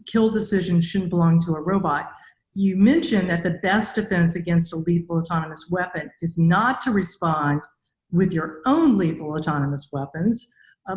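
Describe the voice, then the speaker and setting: A middle-aged woman, a podcast host, talking conversationally as she interviews a guest. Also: lowish voice. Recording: echoey room, mic at a distance.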